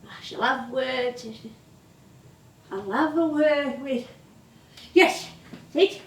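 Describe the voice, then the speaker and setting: Woman acting old northern english music hall comedienne, high voice, stubborn n chatty, echoey stage, mic far off. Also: drawn-out words, slight background noise, exaggerated voice. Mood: grumbling. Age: older. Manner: colloquial, bantering, theatrical. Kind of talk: live stage play acting. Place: theatre stage, recorded from a distance. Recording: roomy stage recording, distant mic.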